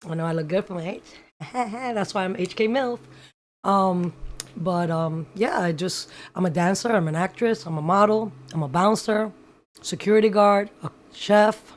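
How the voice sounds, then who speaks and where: A woman's voice: mid-range voice, normal speed. A woman, a chatty guest who mixes in Spanish words and has a cold. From New York City. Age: 50s. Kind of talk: casual livestream interview.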